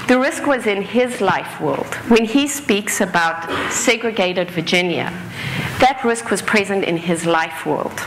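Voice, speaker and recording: A woman from Africa, giving an earnest live talk on stage, sometimes pausing with fillers and correcting herself. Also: mid-range voice, lively intonation, background noise. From South Africa. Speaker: speaker. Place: stage in an auditorium.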